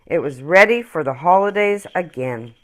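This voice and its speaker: A woman reading a children's picture book aloud as a storyteller, expressively and with dramatic pauses. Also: fairly low pitch, expressive, a bit bright.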